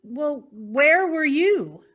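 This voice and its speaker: A woman acting in a stage farce as a bold, nosy newspaper reporter with a melodramatic, deliberate delivery. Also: sits high, animated, fairly bright tone, lo-fi sound, exaggerated voice. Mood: confrontational.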